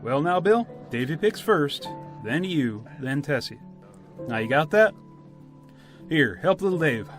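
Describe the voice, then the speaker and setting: A man plays a country village official running a solemn gathering in a radio drama. His voice sits a little high and moves up and down freely. He is brisk, orderly and insistent, with folksy phrasing. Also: sing-song, faint music behind. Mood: businesslike. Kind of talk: radio play acting.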